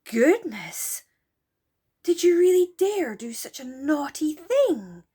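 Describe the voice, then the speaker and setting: A woman's voice: high voice, big pitch swings, slow, warm tone, voice pushed up, full character voice. A woman voicing an earnest, astonished child in a children's storybook, exclaiming with emphasis. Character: astonished, earnest children exploring an enchanted wood.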